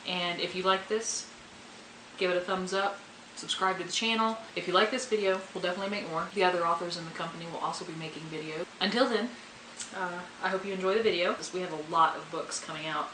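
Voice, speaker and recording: Lowish-pitched woman, very bright voice, casual vlog host, candid, self-deprecating, echoey room. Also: steady tone, quick pace. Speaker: video host introducing a video. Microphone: camera's built-in microphone, no external mic. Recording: echoey room, faint background noise.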